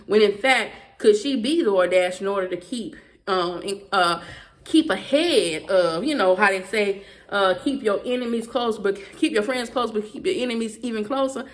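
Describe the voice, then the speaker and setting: Fast-talking Southern woman vlogger. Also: mid-range voice. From Southern United States. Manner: fast, emphatic talk with repeated "okay" and restarts. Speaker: YouTuber. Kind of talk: heated casual commentary to viewers.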